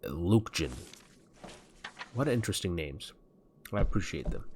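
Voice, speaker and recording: A man's voice: low-pitched, animated, a little slow, darkish tone, slight background noise. A man streaming a game, chatting casually and joking as he reads game text aloud. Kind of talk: casual gameplay chat. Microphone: desk mic.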